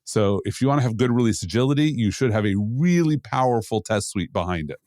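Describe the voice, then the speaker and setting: A man's voice: medium pitch, animated, fairly quick, darkish tone, dry recording. Male podcast co-host, mid-range, emphatic and opinionated explainer, close and dry. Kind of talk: opinionated panel discussion.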